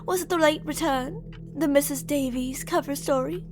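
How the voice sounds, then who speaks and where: A woman's voice: high-pitched, over music, lightly acted. A woman voicing an obsessive, menacing shapeshifting monster who coaxes and taunts intimately in an ASMR horror roleplay. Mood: taunting. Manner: intimate, taunting, possessive, with drawn-out phrases. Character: obsessive female shapeshifting monster posing as a lover, menacing, coaxing.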